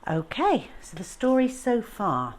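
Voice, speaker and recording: A woman reading a children's story aloud, moving between informal chat and expressive narration as a storytime host. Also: a little high, measured pace.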